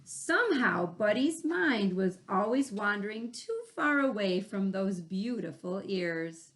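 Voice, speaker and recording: A woman hosting a children's read-aloud, chatting and explaining the story in animated asides. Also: medium pitch, unhurried. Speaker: read-aloud host, teacher.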